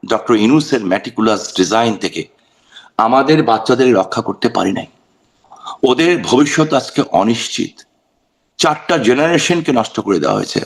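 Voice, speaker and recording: A Bangladeshi man giving impassioned political talk in an interview, emphatic, asking rhetorical questions, repeating phrases and mixing in English words. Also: medium pitch. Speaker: political guest on a talk show.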